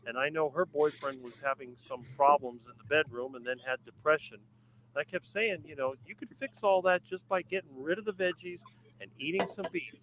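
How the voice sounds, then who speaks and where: Man on a group call, higher voice, bright tone, animated. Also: brisk, a little hiss or hum, rough recording. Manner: animated storytelling. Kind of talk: casual group chat.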